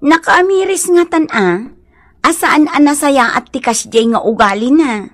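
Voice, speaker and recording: A woman's voice: high voice, expressive, a little slow, fairly bright tone. An actress playing a concerned, reproachful village mother in a radio comedy-drama, with an Ilocano accent and an admonishing delivery. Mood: disapproving.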